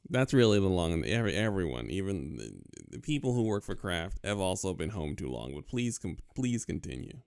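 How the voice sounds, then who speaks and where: A man with a very deep, smooth, resonant voice that swings widely in pitch. He co-hosts a podcast in measured, dry chat. The sound is close and dry. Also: sing-song, darkish tone, dry recording. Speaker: podcast co-host.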